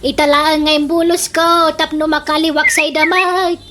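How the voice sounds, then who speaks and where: A woman playing a sharp-tongued, scolding neighbour in a comedic radio drama, teasing and exclaiming with an Ilocano accent. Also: high-pitched, little pitch movement, normal speed, noisy background, close to an everyday voice. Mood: mocking, exasperated.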